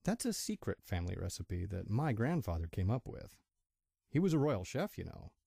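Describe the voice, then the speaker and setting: A man's voice: on the low side, big pitch swings, normal speed, creaky, warm tone, breathy edge, dry recording, clean studio sound, full character voice. A man playing a kindly middle-aged stallion father in an amateur audio drama, folksy and affectionate. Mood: cheerful.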